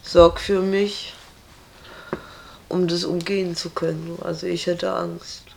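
A woman's voice: on the low side, flat delivery, takes it slowly, creaky, darkish tone, a little hiss or hum. A woman answering interview questions in a blunt, firm and direct way.

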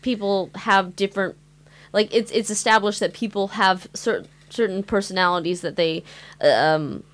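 A young woman raised in the United States, whose first language is Mandarin, chatting casually as a podcast co-host, animated, laughing often and swearing freely. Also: medium pitch, moderate pace. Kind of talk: casual chat.

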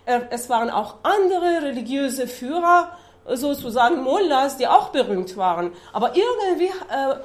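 A woman from Iran with a Persian accent, giving an adult-education lecture in a halting way with many fillers as she searches for words. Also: high-pitched, big pitch swings, roomy sound. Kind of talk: public lecture with map slides. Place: lecture room.

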